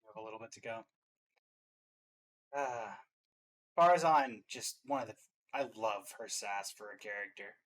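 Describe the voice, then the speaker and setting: A man's voice: lowish voice, expressive, moderate pace, long pauses, fairly bright tone. A man giving casual, playful and enthusiastic commentary as a reaction show host.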